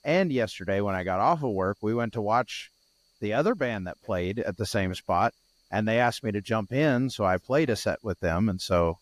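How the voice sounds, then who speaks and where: A man's voice: lowish voice, animated, normal speed, no echo. A tired man co-hosting a podcast in casual chat, with a groggy, scratchy voice, rambling and restarting his sentences. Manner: rambling, with frequent restarts and fillers. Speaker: podcast co-host.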